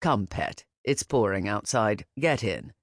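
A woman voicing a gentle, protective older man who speaks softly and calmly, with a firm edge. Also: on the low side, measured pace, no room sound, clean studio sound, voice pushed down. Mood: gentle, fatherly. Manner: soft, calm and fatherly.

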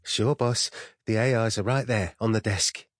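A man's voice: on the low side, normal speed, dry recording, studio quality, pitched above the performer's own voice, natural voice. A man voicing a bubbly, cheeky young female secretary with a breezy, defensive manner in an audiobook.

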